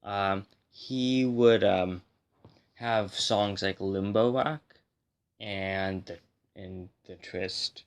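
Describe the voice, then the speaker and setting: A man hosting a music history video, talking casually to viewers in a rambling, self-correcting way, slightly hoarse from getting over a cold. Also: low-pitched, extremely slow. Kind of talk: casual explainer talk to viewers.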